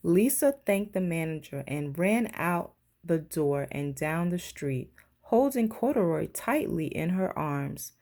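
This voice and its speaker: A woman's voice: fairly low pitch, animated, a little slow, warm tone. A woman reading a children's picture book aloud as a teacher would. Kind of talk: children's storybook narration.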